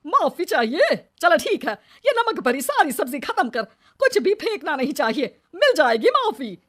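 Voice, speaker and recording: A woman playing a cruel, bossy middle-aged mother-in-law who scolds and taunts in an animated moral story. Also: sits high, moderate pace. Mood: scornful. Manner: harsh, taunting, nagging.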